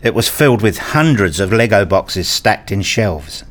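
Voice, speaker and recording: A man's voice: lowish voice, expressive, a little slow, a bit bright. An actor playing an enthusiastic, curious grown man who narrates his visit in a scripted radio play. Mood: measured, reflective. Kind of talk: scripted first-person narration and acted dialogue.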